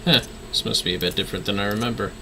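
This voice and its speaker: A man's voice: on the low side, racing, noisy background. A man livestreaming a game with casual, playful commentary, often talking to himself and muttering skill names.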